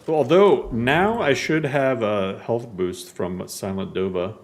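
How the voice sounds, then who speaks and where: A man's voice: mid-range voice, slow pace. A man giving casual, joking gameplay commentary, rambling and self-deprecating. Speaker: game streamer.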